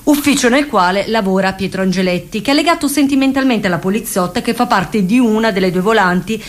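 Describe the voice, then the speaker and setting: A woman's voice: medium pitch, fast talker. A woman delivering scripted theatrical narration as a storyteller on stage or radio.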